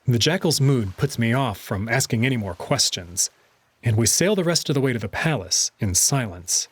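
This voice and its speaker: A man narrating and commenting on a visual novel in an expressive storytelling style. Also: mid-range voice, normal speed, husky, no room sound. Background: music under the voice.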